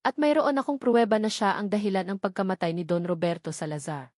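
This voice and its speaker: Corrupt councilman, read by a woman, indignant and accusing, medium pitch, dry audio drama sound. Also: fast, a little mellow, no echo, lightly acted. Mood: accusing, defiant. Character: angry corrupt male councilman, defiant and accusing.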